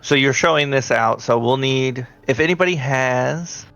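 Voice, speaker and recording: A man running a tabletop horror game as game master, talking in a halting, conversational way. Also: medium pitch, fairly bright tone, muffled recording, faint music behind.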